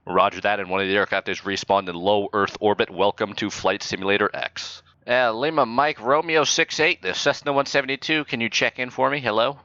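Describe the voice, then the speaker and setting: A man speaking as a mock-official air traffic controller and announcer, improvising in a deadpan manner. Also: fairly low pitch, fairly quick, hardly any pauses, dry recording, muffled recording. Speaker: flight simulator player acting as air traffic controller and airshow announcer. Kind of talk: improvised radio talk and mock commentary.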